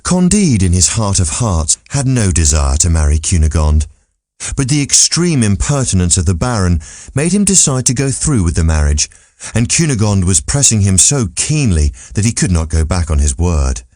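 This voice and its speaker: A man's voice: deep voice, sing-song, normal speed, mellow, no echo, studio quality. A man narrating a classic literary story from a script as an audiobook reader.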